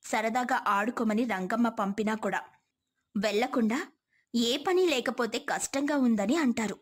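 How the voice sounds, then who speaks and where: Woman reading out a moral story, kinda high voice, storyteller narration. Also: unhurried.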